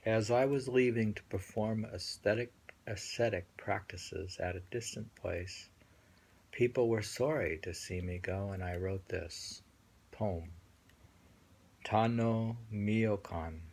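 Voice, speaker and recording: A man with a husky voice reads poems aloud at an unhurried pace. He is halting, repeating phrases and adding casual, musing remarks as he goes. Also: fairly low pitch, darkish tone. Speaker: reader and host. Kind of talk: reading translated poems aloud with casual commentary.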